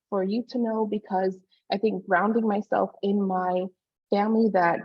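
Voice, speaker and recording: Female speaker, 40s, from Hawaii, talking through earbuds on a video call; earnest and personal, audio slightly muffled. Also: medium pitch. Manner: warm, personal, frequent "um" fillers. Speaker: guest speaker. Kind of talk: virtual conference talk.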